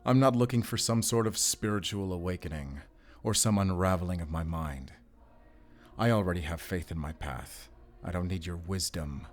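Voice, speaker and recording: A haunted werewolf hunter, played by a man in an audio drama. His voice sits low, and he speaks tersely and solemnly, guarded and defiant. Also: moderate pace, natural voice. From Germany. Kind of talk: cinematic audio roleplay acting.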